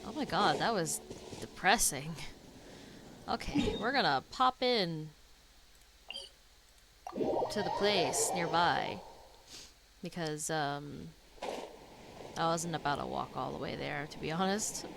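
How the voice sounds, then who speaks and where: A woman's voice: low voice, slow, long pauses. A woman streamer giving casual live reactions while she plays a video game. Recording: clear noise and game sound behind.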